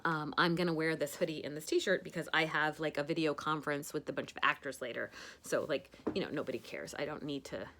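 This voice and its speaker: A woman's voice: sits low, brisk, a bit bright, slight background noise. A woman hosting a casual at-home makeup tutorial, chatty and rambling with many fillers.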